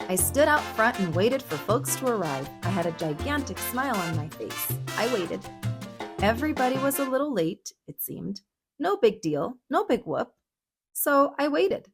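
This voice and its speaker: A woman reading a picture book aloud for children in a dramatic, expressive storytelling manner. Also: a little high.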